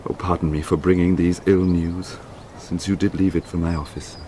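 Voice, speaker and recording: An actor with a British accent playing a loyal, sorrowful manservant in a Shakespearean radio drama, speaking gravely and deferentially to his master. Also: deep voice, little pitch movement, normal speed, warm tone, slight background noise, natural voice.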